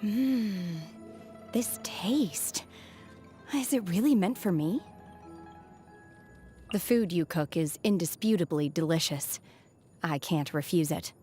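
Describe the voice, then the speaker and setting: An aloof young female exorcist from a fantasy game, raised among immortals. Her voice sits fairly low for a woman, with stoic, measured, formal phrasing and a quiet earnestness. Music plays behind her. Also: expressive. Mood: tender, wistful. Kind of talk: video game character voice lines.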